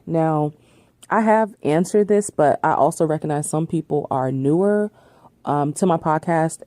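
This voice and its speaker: A woman's voice: low-pitched, warm tone, no echo. A woman, hosting a podcast in a casual solo Q&A, chatty with frequent laughs and ums. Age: 30s. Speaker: podcast host.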